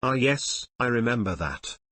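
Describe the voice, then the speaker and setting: A man's voice: medium pitch, very animated, moderate pace, pushed and bright, dry recording. A man narrating forum stories and comments from a script, in the role of a reader. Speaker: narrator reading online forum posts and comments.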